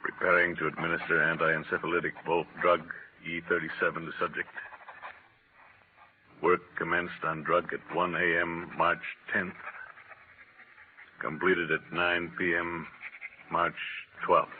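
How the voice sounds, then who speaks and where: An actor playing a cold, commanding, obsessed scientist in a 1940s radio drama. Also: male voice, deep voice, level tone, a little slow, pauses often. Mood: clinical, intent. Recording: tinny, muffled 1940s radio recording.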